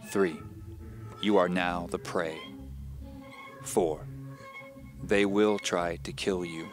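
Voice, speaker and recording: A man playing a weary, haunted homeowner, narrating a mystery audio drama in a measured, confiding, wry way. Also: sits low. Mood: ominous. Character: weary, haunted male homeowner recording his secrets. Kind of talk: first-person scripted storytelling. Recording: voice over music bed. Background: background music.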